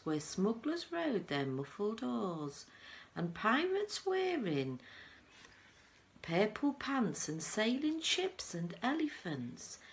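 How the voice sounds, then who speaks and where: A woman reading a children's book aloud in an expressive storytelling style, as a teaching assistant would for pupils. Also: mid-range voice, slight background noise.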